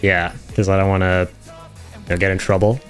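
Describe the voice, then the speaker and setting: A man's voice: deep voice, level tone, fairly quick, faint music behind. A man giving casual, enthusiastic reaction commentary over game footage in an informal, slangy, chatty way. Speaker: host of a gaming reaction channel.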